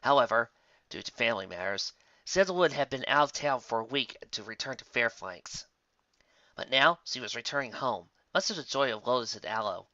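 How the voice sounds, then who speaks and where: Story narrator: animated, forceful, dramatic read, close and dry, slightly muffled. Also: medium pitch, dry recording. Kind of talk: scripted fan-fiction story narration.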